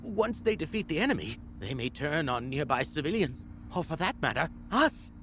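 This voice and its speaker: A man's voice: fairly high pitch, very animated, normal speed, noisy background. A man playing an anxious, deferential scientist underling in a video game, hesitant and prone to stammering. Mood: nervous. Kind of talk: video game character acting.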